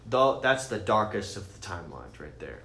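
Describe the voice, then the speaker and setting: A man's voice: deep voice, very animated, moderate pace, sharp tone. A man co-hosting a podcast, explaining things in a casual, enthusiastic, geeky and sweary ramble. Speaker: podcast co-host. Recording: roomy echo with faint noise.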